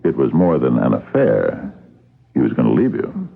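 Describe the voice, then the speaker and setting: An actor in a radio drama playing a middle-aged police captain, gravelly and halting as he patiently probes with questions. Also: rumbling low, wildly swooping pitch, full character voice. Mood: awkward, persistent. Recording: muffled, band-limited old radio broadcast.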